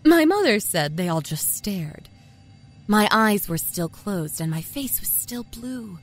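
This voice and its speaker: Wry, animated small-town Southern storyteller. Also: female voice, medium pitch, takes it slowly, soft background music. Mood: wry, storytelling. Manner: wry, folksy, confiding storyteller. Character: sly small-town Southern woman, a self-confessed professional liar. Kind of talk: dramatic first-person horror story narration. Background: musical score.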